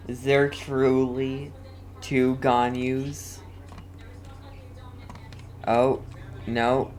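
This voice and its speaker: A woman's voice: a bass voice, a little mellow, slight background noise. A woman giving casual gameplay commentary, thinking aloud and repeating short phrases as she plays. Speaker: gaming video host.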